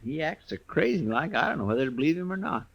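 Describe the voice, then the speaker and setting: An actor playing a folksy, earnest and deferential American sidekick to a frontier marshal in a 1950s radio western. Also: male voice, a little high, big pitch swings, fast talker, creaky, a bit bright, full character voice. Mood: puzzled. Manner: folksy, deferential, rustic drawling phrasing. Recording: faintly noisy vintage radio recording.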